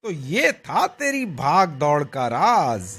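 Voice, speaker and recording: A man voicing a mild Indian husband and son in an animated moral story, with a husky voice. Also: fairly high pitch, slow pace, exaggerated voice. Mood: pleased.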